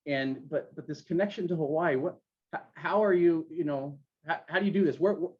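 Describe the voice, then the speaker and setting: A man's voice: on the high side, breathy edge. Man host on a dull lo-fi line. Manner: halting, many restarts and fillers. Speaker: podcast host. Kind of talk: casual interview. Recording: dull lo-fi sound.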